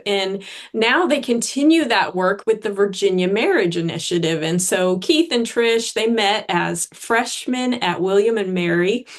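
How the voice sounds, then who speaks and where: A woman speaking as host, thanking and introducing presenters in an effusive, appreciative manner. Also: mid-range voice. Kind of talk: spoken introduction and thanks at a training session.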